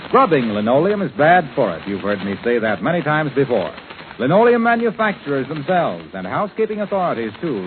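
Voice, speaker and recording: Animated 1940s radio pitchman, narrow-band sound. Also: slight background noise. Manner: polished, persuasive. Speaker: commercial announcer. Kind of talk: 1940s radio sponsor announcement.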